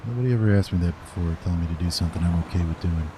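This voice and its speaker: An actor playing a jaded, wary veteran cop in a crime audio drama, with a dry, sardonic, gruff delivery. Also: male voice, low voice, racing, noisy background, lightly acted.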